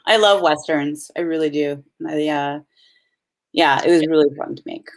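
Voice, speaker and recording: A woman's voice: low voice, moderate pace. A woman actress being interviewed on a video call, chatting casually, upbeat and giggly, rambling through her stories. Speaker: actress, guest.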